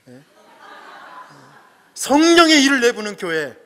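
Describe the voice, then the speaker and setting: Male pastor, middle-aged, bright tone, church hall reverb; earnest, swings between emphatic peaks and soft asides. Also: very high voice, big pitch swings, a little slow. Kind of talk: sermon. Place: church sanctuary.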